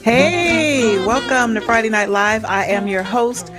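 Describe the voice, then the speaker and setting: A woman hosting a live online show, excited and enthusiastic, greeting viewers and interviewing a guest. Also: a little high, slow pace, music in the background. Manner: excited, enthusiastic, repeats exclamations. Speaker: host. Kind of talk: upbeat live-stream interview.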